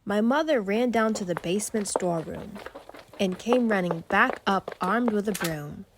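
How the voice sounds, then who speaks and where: A woman reading a rhyming children's bedtime story aloud in an expressive storytelling style. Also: medium pitch, normal speed, a little hiss or hum.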